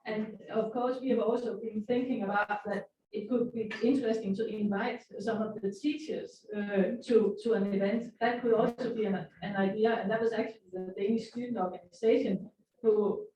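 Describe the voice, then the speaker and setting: A woman's voice: medium pitch, fairly even intonation, normal speed, mellow. A woman leading a workshop discussion, responding reflectively to comments. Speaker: workshop leader. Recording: echoey hall, far, muffled.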